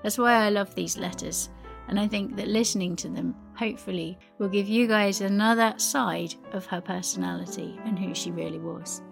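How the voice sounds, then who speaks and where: Creaky-voiced woman presenting over music. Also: medium pitch, normal speed. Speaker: presenter of the series. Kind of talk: scripted podcast introduction.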